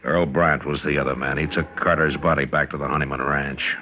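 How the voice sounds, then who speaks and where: An actor playing a calm, firm American frontier marshal in a radio western, speaking in a measured, plain, authoritative way. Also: male voice, a bass voice. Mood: calm, probing. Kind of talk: old-time radio western acting. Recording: dull old radio recording, faint noise.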